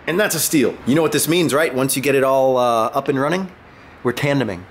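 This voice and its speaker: A man in his twenties, sick and sounding a bit deeper than usual, chatting upbeat to a handheld camera in his home garage. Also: fairly quick, a little hiss or hum. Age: 20s. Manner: chatty and upbeat, joking with a friend. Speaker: car vlogger. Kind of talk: casual vlog talk to camera. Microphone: handheld camera close to face.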